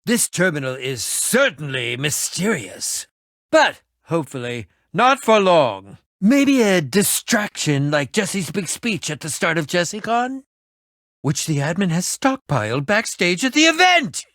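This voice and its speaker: Eccentric self-styled ninja, pompous and wordy, dramatic game character, unhurried pace, close dry sound. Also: male voice, sits high, sing-song, fairly bright tone, dry recording, exaggerated voice. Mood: theatrical. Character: eccentric, theatrical, self-important man who fancies himself a ninja. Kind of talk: animated video game voice acting.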